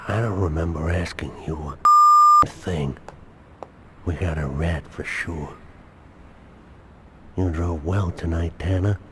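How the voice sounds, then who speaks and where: An actor playing a cold, controlling male crime boss in a video game cutscene, speaking with deliberate menace. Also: low-pitched, very noisy. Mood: cold suspicion. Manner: deliberate, threatening.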